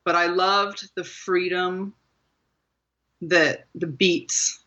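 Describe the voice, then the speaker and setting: Brief: female author guest, bright and forceful, rambling interview answers with frequent laughs, muffled lo-fi audio. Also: lowish voice, fairly even intonation, extremely slow. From Maryland, USA. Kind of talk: casual interview talk.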